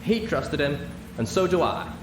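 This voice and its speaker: A man's voice: on the high side, very animated, normal speed, distant mic, pitched above the performer's own voice. A man voicing an earnest, plain-spoken female country pony. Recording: roomy with clear background noise.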